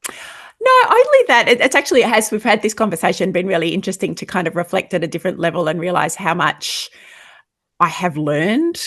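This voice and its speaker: Husky, low-voiced middle-aged Australian woman. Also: big pitch swings, a little fast, a bit bright, dry recording, studio quality. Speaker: podcast guest, home owner building a house. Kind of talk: reflective personal interview conversation.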